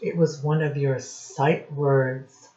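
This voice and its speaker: A woman teacher reading a picture book aloud to young children in deliberate, separated phrases, asking them questions as she goes. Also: rumbling low, flat delivery, mellow. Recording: dull, muffled lo-fi recording.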